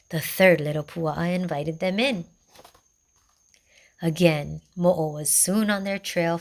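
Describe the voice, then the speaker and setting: Slow-reading woman storyteller. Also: on the low side, creaky. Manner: slow, gentle, even reading. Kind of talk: storybook read-aloud.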